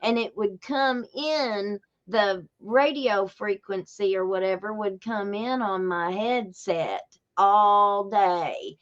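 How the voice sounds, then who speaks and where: A woman's voice: mid-range voice, takes it slowly, few pauses, highs cut off. A woman with an Appalachian accent telling stories casually on a podcast, folksy and emphatic, often repeating herself for effect. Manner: folksy, emphatic, repetitive storytelling. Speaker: podcast host. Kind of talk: casual true-crime podcast talk.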